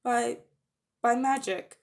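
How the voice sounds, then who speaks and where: A woman's voice: a little high, slow, gravelly. A woman voicing an earnest, guilty young boy who speaks hesitantly, politely and pleadingly. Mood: nervous.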